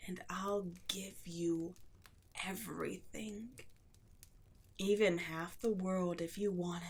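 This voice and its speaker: A woman playing an imperious, seductive demon queen in a roleplay audio drama, slow and theatrical, often slipping into a breathy whisper. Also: medium pitch, darkish tone. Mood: seductive, coaxing.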